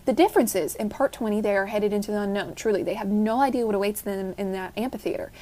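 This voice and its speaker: A woman's voice: medium pitch, quick pace, warm tone. Articulate woman giving earnest fan commentary. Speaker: listener sending a voice message.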